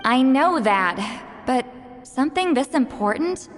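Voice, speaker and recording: A woman voicing a reserved, quietly serious young woman who speaks in a measured, earnest way in a game cutscene. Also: sits high, animated, faint music behind.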